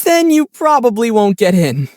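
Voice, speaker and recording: A man voicing a young adventurer in a video game, calm, kind and dryly witty, with a gently teasing, unhurried delivery. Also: very high voice, no room sound, clean studio sound.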